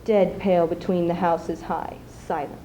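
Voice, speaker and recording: A teenage girl, a high school student, recites poetry in a theatrical, measured way at a live event. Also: little pitch movement, warm tone, poor audio. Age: teens. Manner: theatrical, measured, with dramatic dynamics. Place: live school showcase before an audience. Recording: faint noise, live event ambience.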